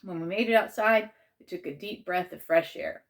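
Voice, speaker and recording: A woman's voice: lowish voice, normal speed. A woman narrating a children's chapter book aloud as its author and a teacher.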